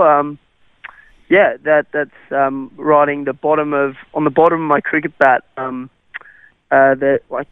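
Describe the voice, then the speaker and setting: A man's voice: mid-range voice, clear, resonant tone, no echo. A young man from South Africa with an Australian accent, Afrikaans his first language, answering radio interview questions hesitantly with many fillers. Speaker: interview guest, cricketer. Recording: tinny phone-like radio line.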